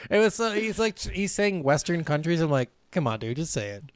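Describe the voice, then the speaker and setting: Casual male podcast co-host, higher-pitched, close dry sound. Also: big pitch swings, fairly quick, clean tone, dry recording. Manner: informal, swears freely. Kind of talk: casual chat, reading notes and commenting.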